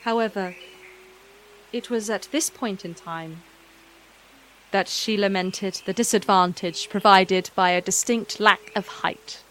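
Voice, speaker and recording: A woman's voice: medium pitch, slow, fairly bright tone, poor audio. Female storyteller for scripted fantasy narration, medium register, light ambient noise underneath. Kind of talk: scripted fairy-tale narration.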